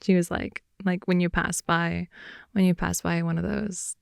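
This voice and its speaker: A young woman improvising an intimate ASMR girlfriend roleplay, rambling in a playful, slangy way and slipping between soft whispers and more animated talk, close to the mic. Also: lowish voice, normal speed, gravelly, clear, resonant tone, dry recording. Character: wide-awake chatty girlfriend, silly and playful. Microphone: close microphone, dry sound.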